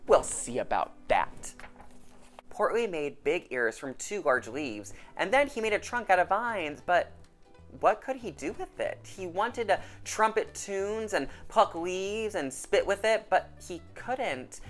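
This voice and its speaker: A woman reading a children's storybook aloud in an animated storytelling style. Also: medium pitch, measured pace, faint music behind.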